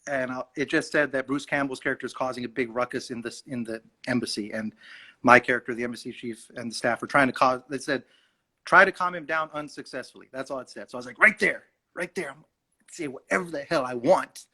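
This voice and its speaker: Animated young man with a bright voice. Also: mid-range voice, fast. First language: Urdu. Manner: animated, acts out voices in his anecdotes. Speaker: actor, guest. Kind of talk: casual storytelling in an interview.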